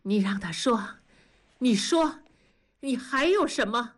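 A woman acting a wounded, reproachful mother, animated. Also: on the high side, takes it slowly. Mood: tearful, challenging. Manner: emotional, reproachful, trembling. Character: hurt, anxious middle-aged mother, indignant and pleading.

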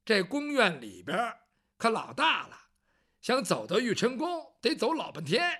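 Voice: medium pitch, huge pitch swings, a little slow, bright, forceful tone, slightly rough, heavily acted. A storyteller voicing a fussy, talkative older palace eunuch who nags and teases in a traditional storytelling performance. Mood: chatty. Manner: talkative, nagging, teasing.

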